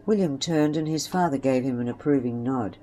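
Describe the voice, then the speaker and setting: A woman's voice: extremely low pitch, a little fast, dark tone, rough recording. Woman narrating a western audio story, scripted read, bit of background noise. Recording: faint background noise and ambience.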